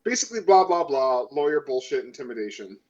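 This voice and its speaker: A man's voice: mid-range voice, sing-song, quick pace, a little mellow, highs cut off. A man chatting casually as a player in a tabletop role-playing game, slangy and paraphrasing as he goes.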